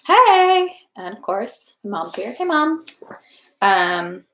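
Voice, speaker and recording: A woman's voice: medium pitch, very animated, drawn-out words, a bit bright. A woman hosting a read-aloud livestream, speaking informally in an echoey indoor room. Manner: informal, rambling, self-interrupting. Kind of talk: casual livestream chat, then audiobook-style reading.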